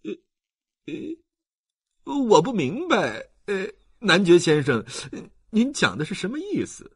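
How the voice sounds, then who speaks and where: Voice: mid-range voice, huge pitch swings, measured pace, slightly rough, pitched above the performer's own voice, heavily acted. An audiobook narrator voicing a flustered, greedy, fawning male scoundrel who stammers obsequiously. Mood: puzzled.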